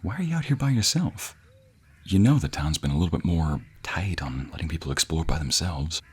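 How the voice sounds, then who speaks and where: A man's voice: rumbling low, lively intonation, brisk, husky, full character voice. A man playing a playful, doting scoundrel of a lover in a romantic audio roleplay, teasing affectionately. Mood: curious, tender. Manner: teasing, affectionate, chuckling banter.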